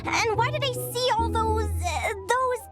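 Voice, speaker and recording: A woman's voice: very high-pitched, unhurried, loud music behind the voice. An actress playing an earnest, curious young woman who keeps pressing with questions in a game cutscene. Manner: persistent, pleading, eager questions. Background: game score.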